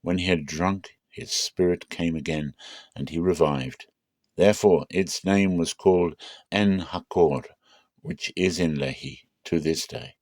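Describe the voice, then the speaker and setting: Low-voiced man reading scripture dramatically. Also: a little slow, darkish tone, no room sound. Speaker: narrator reading the Bible aloud.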